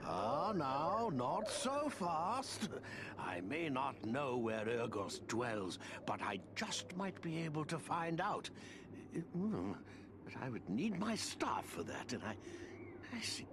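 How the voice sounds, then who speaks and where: An actor playing a dotty, cheerful, crafty old wizard in a fantasy game, with a whimsical, rambling, theatrical manner. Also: male voice, on the high side, sing-song, slow, background noise. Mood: puzzled, musing.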